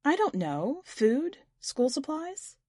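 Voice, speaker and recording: A woman's voice: high-pitched, very animated, takes it slowly, mellow, no echo, studio quality, pitched above the performer's own voice, exaggerated voice. Woman reading as a tentative, earnest young woman. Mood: puzzled, tentative. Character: hesitant, inventive young woman answering an eccentric mentor.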